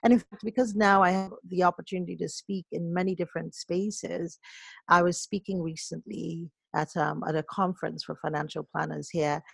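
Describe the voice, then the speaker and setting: A middle-aged woman, a coach and podcast guest, telling stories in an animated way and acting out other people's voices. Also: fairly low pitch, moderate pace, vocal fry, breathy edge, no room sound. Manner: animated storyteller, acts out voices. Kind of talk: conversational podcast storytelling.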